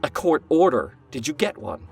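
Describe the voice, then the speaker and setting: An actor playing a proud, defensive heir to a family business in an audio drama, brooding and imperious, over music. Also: male voice, fairly high pitch, sing-song, moderate pace, creaky, a little mellow. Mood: curt, defiant. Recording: music underneath.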